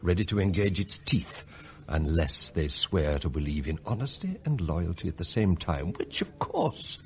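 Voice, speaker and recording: An actor playing a sardonic, scheming English butler in a radio drama, formal and articulate with theatrical flourishes. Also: male voice, low voice, big pitch swings, normal speed, a little mellow. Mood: bitter, fervent. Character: eloquent, scheming male butler, sardonic and idealistic.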